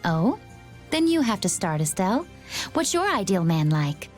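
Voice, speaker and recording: A poised young adventuress played by a woman talks at a medium female pitch, measured and polished. She has a composed, gently teasing manner, with game music underneath. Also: sing-song, lightly acted. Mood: playful. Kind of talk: video game cutscene acting.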